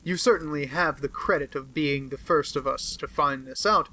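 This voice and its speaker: A man with an American accent voicing a sharp, sardonic gentleman detective who speaks in a dry, measured way, in an audiobook reading. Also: on the high side.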